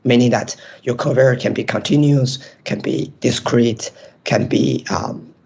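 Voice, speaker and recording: Hoarse man with a strained voice. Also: mid-range voice, steady tone, measured pace, darkish tone. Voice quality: raspy, strained. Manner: explains step by step with frequent ums. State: hoarse, nearly lost voice. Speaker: presenting researcher. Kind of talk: academic webinar presentation.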